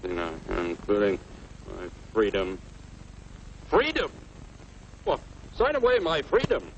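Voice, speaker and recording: A man playing a plain-spoken, doubting everyman in an old cartoon, deliberate and stubborn. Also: lowish voice, huge pitch swings, gravelly, heavily acted. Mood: indignant. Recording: dull, slightly noisy old film soundtrack.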